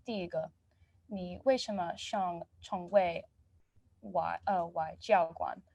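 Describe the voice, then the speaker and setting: A woman's voice: on the low side, slow pace, gravelly, dark tone, no echo, lo-fi sound. A young woman in her teens, a student interviewer speaking politely and carefully in a prepared, formal style. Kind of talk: prepared formal introduction and questions.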